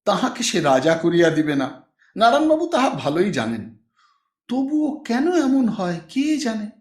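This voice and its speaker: A man narrating a literary audiobook in an expressive, dramatic reading style. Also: sits high, a little slow. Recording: echoey room.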